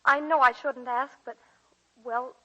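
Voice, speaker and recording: An actress playing a sweet, hesitant young bride-to-be in a 1940s radio drama. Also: female voice, high-pitched, fairly even intonation, unhurried, bright, forceful tone, exaggerated voice. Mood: tender, hesitant. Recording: dull 1940s radio broadcast.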